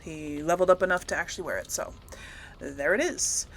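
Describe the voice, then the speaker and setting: A woman's voice: deep voice, lively intonation, brisk, a bit bright. A woman hosting a gaming video, giving casual, rambling commentary as she thinks aloud and corrects herself.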